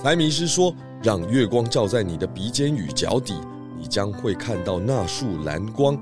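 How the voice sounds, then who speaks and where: A man playing a confident, thoughtful pig detective in a children's audio drama, explaining clues deliberately. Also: on the low side, animated, over music, natural voice. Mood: excited, discovering.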